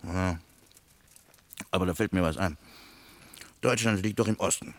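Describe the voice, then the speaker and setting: An actor playing a grumbling, bossy lost soldier in a radio play, with coarse, needling talk. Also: male voice, sits low, unhurried. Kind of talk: German radio play dialogue.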